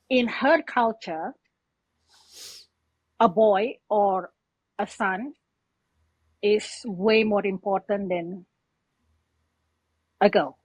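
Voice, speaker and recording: Woman, Indonesian accent, breathy husky voice, halting personal storytelling, dry lo-fi sound. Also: medium pitch, no echo. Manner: halting, non-native English phrasing. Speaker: podcast guest. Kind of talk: personal story told in conversation.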